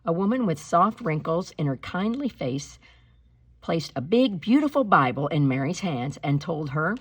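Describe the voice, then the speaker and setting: A woman reading a storybook aloud like a teacher, with deliberate, expressive phrasing and instructive delivery. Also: sits low, slightly rough, dry recording. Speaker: teacher reading a picture book aloud to pupils.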